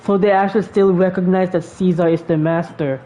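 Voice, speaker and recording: A woman's voice: on the low side, steady tone, fairly quick, warm tone, lo-fi sound. A woman giving casual live commentary on a game she plays, muttering asides and swearing. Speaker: gamer reacting to a game.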